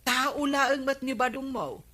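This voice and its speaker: Radio comedy role: an emphatic, scolding Filipino villager, slow delivery, forceful bright voice. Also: female voice, sits high, heavily acted. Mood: chiding, teasing. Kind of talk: Philippine radio comedy drama acting.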